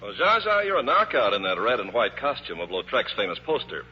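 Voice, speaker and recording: A man's voice: mid-range voice, big pitch swings, fairly quick, husky. A man reading a 1950s radio commercial with polished, emphatic salesmanship as the announcer. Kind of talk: 1950s radio advertising pitch. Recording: dull, reverberant vintage radio broadcast.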